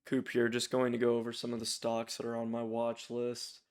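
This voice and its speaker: A man hosting a casual, unscripted stock watchlist, raspy from strep throat, informal and hesitant as he thinks aloud. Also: mid-range voice, completely flat delivery, normal speed. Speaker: stock trading channel host.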